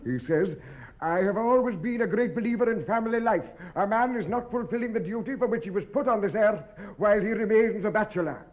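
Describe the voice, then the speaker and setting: Casting: male actor as fussy, high-pitched solicitor, formal and sorrowful, vintage radio comedy with dull sound. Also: close to an everyday voice. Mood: prim, official. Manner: formal, measured, mournful. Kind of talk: 1950s British radio sitcom acting. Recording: dull, muffled old radio recording.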